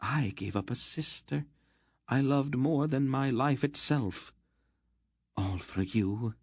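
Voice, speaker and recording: A man voicing an ancient, stern sorceress woman who speaks in a cold, clipped, scathing way, raised well above his own low voice. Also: very animated, a little slow, darkish tone, dry recording. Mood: anguished.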